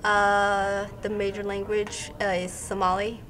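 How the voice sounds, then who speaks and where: A woman's voice: medium pitch, level tone, measured pace, crowd noise. A teenage girl from Kenya, being interviewed for a school TV show and answering with many hesitant ums. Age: late teens. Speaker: interviewed student.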